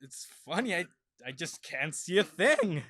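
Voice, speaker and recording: An actor playing an affectionate husband in an audio drama, with slow, drawn-out delivery. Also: male voice, high voice, sing-song, sharp tone, dry recording, clean studio sound, full character voice. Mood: eerily calm. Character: affectionate husband, turning eerily cheerful when possessed.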